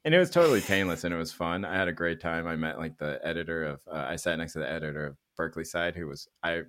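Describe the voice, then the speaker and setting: A man's voice: deep voice, quick pace, a bit bright, a little hiss or hum. A middle-aged man, born in Korea, chatting casually as a podcast host, opinionated and sweary, with a discursive storytelling way of talking. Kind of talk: casual podcast film chat.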